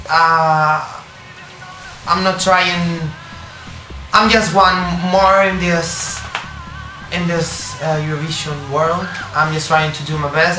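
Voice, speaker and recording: Spanish-accented man, halting English. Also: a little high, pushed and bright. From Spain. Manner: halting, searching for words in English, frequent restarts. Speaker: singer, interview guest. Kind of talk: casual interview answers. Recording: echoey room with music behind.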